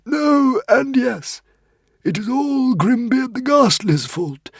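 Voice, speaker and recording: A man voicing a small, nervous, ancient male sea dragon with a squeaky, wavering voice. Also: expressive, slow pace, vocal fry, husky, no room sound, studio quality, exaggerated voice. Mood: flustered.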